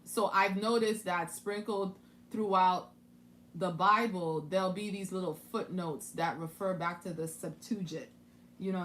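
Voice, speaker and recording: Woman musing through scripture, lower voice. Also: a bit bright, a little hiss or hum. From Haiti. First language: French. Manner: thinking aloud, with many restarts and asides. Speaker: Bible teacher. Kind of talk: casual Bible study commentary.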